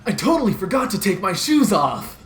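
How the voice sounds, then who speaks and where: Sheepish, hesitant young guy played by a woman, amateur comedy reading, animated delivery, music faintly behind. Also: medium pitch, brisk, echoey room, natural voice. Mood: casual. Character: sheepish, dim young man caught cheating.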